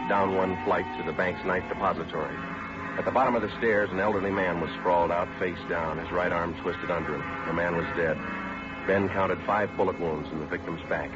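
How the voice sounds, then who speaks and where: Male actor as terse radio detective: very low, quick, flat matter-of-fact delivery, vintage procedural drama. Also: lightly acted. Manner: clipped, deadpan, matter-of-fact. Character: terse, weary male police detective narrating his case. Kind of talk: 1950s police procedural radio acting. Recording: dull vintage radio recording, music behind.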